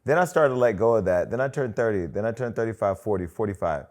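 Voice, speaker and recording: A man in his forties hosting a talk show, chatting casually and hyping up his guest with lively energy. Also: fairly low pitch, fast. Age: 40s. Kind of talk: casual interview chat.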